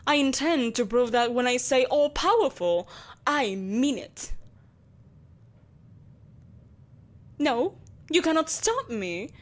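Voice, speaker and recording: A woman's voice: high voice, animated, unhurried. A woman playing an imperious, seductive evil empress in a scripted roleplay audio drama, speaking in a haughty, theatrical, coaxing manner. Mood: menacing, grandiose. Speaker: voice actor.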